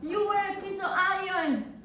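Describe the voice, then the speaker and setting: A woman's voice: very high-pitched, measured pace. A woman performing a theatrical monologue as an incredulous grown daughter, dramatic and exclaiming, lingering on words. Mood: agitated amazement. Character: incredulous grown daughter reading her mother's secret love letters. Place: echoey room at a live public reading. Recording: echoey room from far off, faint noise.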